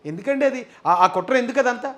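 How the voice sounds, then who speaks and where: A man presents live commentary in a very high-pitched voice. He talks quickly and emphatically, arguing his points and pressing viewers with rhetorical questions. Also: expressive, very noisy.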